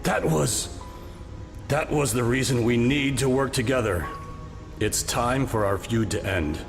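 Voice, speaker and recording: An actor playing an earnest, weary peacemaker in a video game. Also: male voice, mid-range voice, unhurried, close to an everyday voice. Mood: earnest resolve. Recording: distant reverberant game audio with music.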